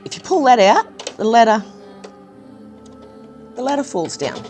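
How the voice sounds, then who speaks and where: A woman with a slightly croaky voice, chatting casually through a hands-on review of a building set, with plenty of ums and restarts. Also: on the high side, sing-song, a little slow, a little mellow, faint music behind. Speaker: brick set reviewer, channel host.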